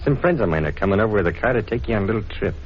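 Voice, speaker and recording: A man's voice: fairly low pitch, lively intonation, very fast, slightly rough. A man playing a smug, menacing gangster with a tough, slangy, taunting delivery in a 1940s radio drama. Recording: dull, noisy 1940s radio recording.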